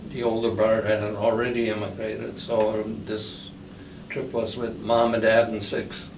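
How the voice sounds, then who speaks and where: An older man born in the Netherlands, with Dutch as his first language, recalling his past slowly with many pauses and fillers in an oral history interview. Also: on the low side, completely flat delivery. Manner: slow, halting, many fillers. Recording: roomy, distant, noisy recording.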